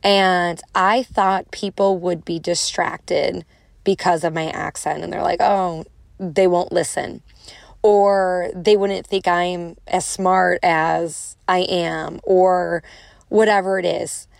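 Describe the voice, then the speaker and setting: Chicago woman with a gravelly edge. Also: lowish voice, steady tone, slow, no echo, studio quality. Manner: casual, encouraging, acts out inner voices. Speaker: podcast host. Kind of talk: solo motivational podcast talk.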